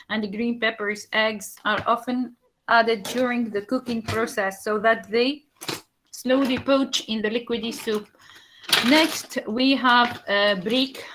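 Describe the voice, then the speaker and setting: A woman's voice: fairly high pitch, a bit bright, a little hiss or hum, low-quality recording. A woman from Tunisia with a Tunisian accent, a native Arabic speaker, giving a slide presentation in a hesitant way, with many fillers and restarts.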